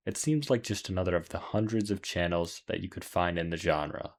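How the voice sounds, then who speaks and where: A man's voice: low voice, brisk. A man reading scripted narration as the host of a video essay. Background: music.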